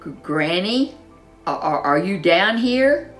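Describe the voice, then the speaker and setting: A woman's voice: on the low side, lively intonation, slow, fairly bright tone, soft background music. A woman voicing a brave, feisty young girl in a storybook, exclaiming dramatically. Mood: anxious, calling.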